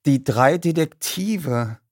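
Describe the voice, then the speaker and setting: Guy playing teenage detective leader in an audiobook, well-spoken and polite, raised lively voice. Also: a little high, slow, dry recording, studio quality, voice pushed up. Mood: confident. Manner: formal, articulate, solicitous. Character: polite, eloquent teenage boy detective, self-assured leader.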